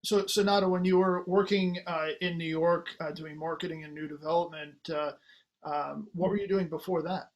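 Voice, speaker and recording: A polite, encouraging man hosting an interview. His voice sits a bit higher than most men's, and he asks his questions in an easy conversational way. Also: fairly even intonation, moderate pace, low-quality recording. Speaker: host.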